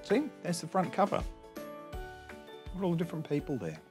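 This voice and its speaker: A man in his forties hosting storytime, reading a picture book aloud to children in an expressive sing-song way with chatty asides. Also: fairly high pitch, normal speed, over music. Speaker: storytime host. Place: small indoor space among bookshelves.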